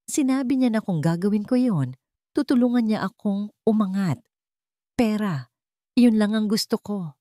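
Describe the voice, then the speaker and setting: A woman's voice: medium pitch, lively intonation, moderate pace, pauses often, warm tone, no echo, clean studio sound, voice pushed up, lightly acted. A woman voicing a cowardly, cornered male accomplice in a story, trembling as he pleads. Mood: frightened. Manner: trembling, confessing.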